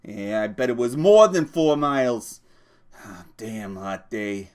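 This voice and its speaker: A man's voice: mid-range voice, animated, takes it slowly. A man voicing a small, irritable working man who scolds and swears, from a storybook. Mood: exasperated. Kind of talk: audiobook character voice.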